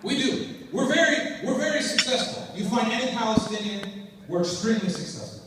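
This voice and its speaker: A young man doing an animated stand-up set on a conference stage, telling stories with frequent restarts. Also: very high-pitched, a little fast. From United States. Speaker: stand-up comedian. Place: conference stage before a live audience. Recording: echoey hall, distant mic, noisy crowd.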